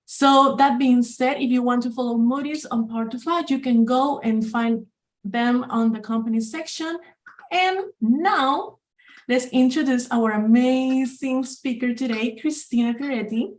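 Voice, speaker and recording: Brief: enthusiastic female webinar host on a video call, slightly high voice. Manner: upbeat, enthusiastic, with fillers and restarts. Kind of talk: hosting a live online chat.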